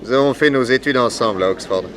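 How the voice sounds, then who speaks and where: An actor playing an urbane, curious detective, amiable and a little wary, in a mid-century French radio drama, with a teasing, conversational delivery. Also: male voice, mid-range voice, fast talker, noisy background, lightly acted. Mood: admiring.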